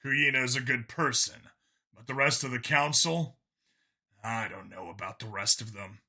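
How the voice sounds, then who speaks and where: A man voicing a firm, principled police team commander in measured, serious audiobook dialogue. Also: mid-range voice, sharp tone. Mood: pensive, probing.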